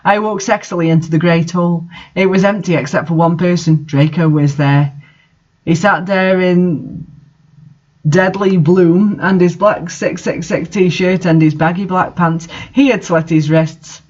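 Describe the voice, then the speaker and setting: A woman's voice: low-pitched, a little mellow. A woman giving a dramatic, mocking amateur reading of fan fiction, with her own commentary. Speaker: reader. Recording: dull lo-fi sound.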